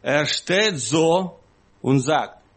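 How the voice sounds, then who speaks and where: A man preaching in a non-native, foreign-accented voice, halting and simply phrased, with emphatic repetition. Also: on the high side, lively intonation. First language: not German. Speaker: guest preacher. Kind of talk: sermon-like teaching talk to a congregation.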